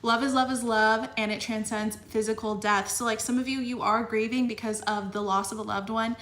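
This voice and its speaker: A woman's voice: fairly high pitch, steady tone, normal speed, a bit bright, echoey room, slight background noise. A young woman giving a casual tarot reading, talking in a chatty way full of fillers and a little sniffly. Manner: chatty, filler-heavy with frequent "like" and "you know". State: slightly sniffly. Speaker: tarot reader.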